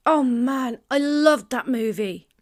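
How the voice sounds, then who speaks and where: A woman's voice: sits high, lively intonation, slow pace, heavily acted. A woman playing a chatty, keen young survivor of a zombie apocalypse in an audio drama. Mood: teasing, enthusiastic.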